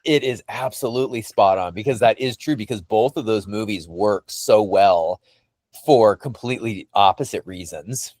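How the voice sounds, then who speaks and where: A man's voice: fairly low pitch, moderate pace, a little mellow, dry recording. A man hosting a podcast in casual fan chat, enthusiastic and effusive, rambling and circling back over his phrases. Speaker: podcast host.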